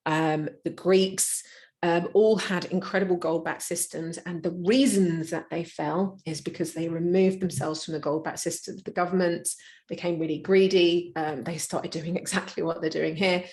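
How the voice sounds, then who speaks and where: A middle-aged woman talks an audience through her slides. Her voice sits on the low side, and her delivery stays conversational, full of small fillers and restarts. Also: steady tone, normal speed, a little mellow. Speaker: presenter. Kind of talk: live slide presentation.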